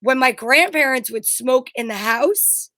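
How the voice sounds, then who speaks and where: A woman with a high voice and close, dry sound chats casually as a co-host. She is blunt and emphatic, drifting into rambling personal anecdotes with the odd swear word. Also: expressive, unhurried, bright, forceful tone, no room sound. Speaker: show co-host. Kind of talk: casual chat.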